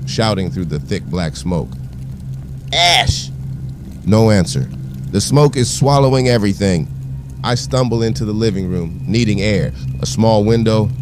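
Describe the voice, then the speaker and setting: Audio drama, male actor: wounded trapped man, low voice, strained and urgent, noisy ambience behind. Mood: frantic shouting. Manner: strained, urgent, shouting for help. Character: Jason, wounded, desperate man trapped in burning house. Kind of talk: first-person audio drama narration with dialogue.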